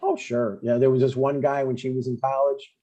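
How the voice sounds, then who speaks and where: A man's voice: medium pitch, level tone, brisk, mellow, close to an everyday voice. A man playing an older widowed father and retired deacon, earnest, blunt and a little hesitant, in a scripted comedy table read. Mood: mild, reflective. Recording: dull, lo-fi sound.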